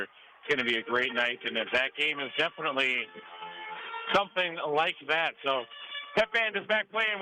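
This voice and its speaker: An American man calling a high school game live on the radio as play-by-play announcer. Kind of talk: live radio sports commentary. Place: high school gym broadcast position. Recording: faint noise behind live broadcast.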